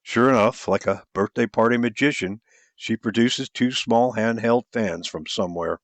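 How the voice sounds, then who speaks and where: Male audiobook narrator, lowish voice, first-person romance storytelling, close dry recording. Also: normal speed, no room sound.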